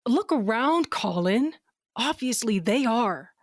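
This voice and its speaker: A woman's voice: on the high side, expressive, takes it slowly, no echo, studio quality. A woman playing a caring, earnest mother in a fantasy audio drama, with a natural conversational delivery. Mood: wry. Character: worried, caring mother held in a fantasy jail.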